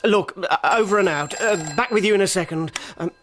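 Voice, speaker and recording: An actor as a flustered male police sergeant with a high voice, full of hesitation and apology. He is dutiful and bumbling, in radio drama style, over clearly audible background noise. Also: a little fast, close to an everyday voice. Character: flustered, deferential police sergeant moonlighting as assistant. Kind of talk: British radio comedy drama acting.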